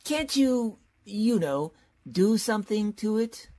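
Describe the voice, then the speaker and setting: A woman's voice: medium pitch, lively intonation, slow, mellow, husky. A woman playing a bumbling, hesitant male college professor in an old-time style radio comedy. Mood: hesitant, polite. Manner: hesitant, dithering.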